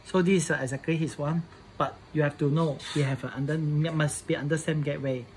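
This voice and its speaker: A man presenting a casual hands-on setup tutorial in halting English, often repeating his phrases. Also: a little high, steady tone, a little mellow, slight background noise.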